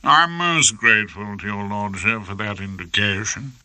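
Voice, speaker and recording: A man's voice: lowish voice, moderate pace, pushed and bright, no echo. Man playing a purring, obsequious British barrister. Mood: fawning. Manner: purring, deferential, formal. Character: smooth, obsequious male prosecuting barrister.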